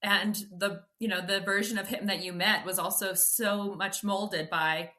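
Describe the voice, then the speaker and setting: A woman's voice: medium pitch, steady tone, measured pace, pushed and bright. A woman in her forties hosting a podcast interview, talking in an enthusiastic, effusive way. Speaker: podcast host.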